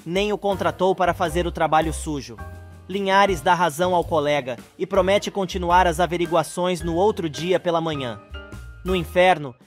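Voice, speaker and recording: A man with a Brazilian accent reading a scripted soap opera recap as a narrator. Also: high voice. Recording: voice-over with music underneath. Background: background music.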